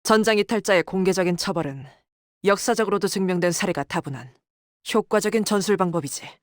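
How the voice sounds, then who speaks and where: A woman's voice: medium pitch, fast, no room sound, studio quality, close to an everyday voice. A woman voicing a proud, stern female military tactician in a game, with clipped, formal, commanding delivery. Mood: stern, scornful. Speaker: game character voice. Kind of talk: dramatic scripted game dialogue. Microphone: close, dry studio microphone.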